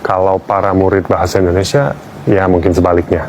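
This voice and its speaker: A man's voice: deep voice, little pitch movement, fairly quick, darkish tone, a little hiss or hum. A man from Australia, a native English speaker, giving a wry, playful public talk as a language teacher.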